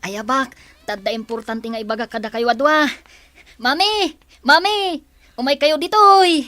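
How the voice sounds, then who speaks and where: An eager teenage son, Filipino accent, high voice, dry studio sound, radio drama. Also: female voice, unhurried, pushed and bright, dry recording. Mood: urgent, excited. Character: excited high school boy, eager young son. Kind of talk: Philippine radio drama acting.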